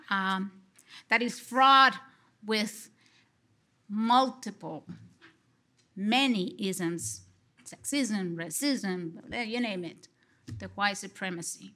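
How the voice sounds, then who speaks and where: A middle-aged woman from Colombia with a Colombian Spanish accent, giving an expressive conference response from a lectern on a large stage, speaking into a headset mic. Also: medium pitch. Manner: expressive, gesturing, slow and deliberate. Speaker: lecture respondent, psychology professor.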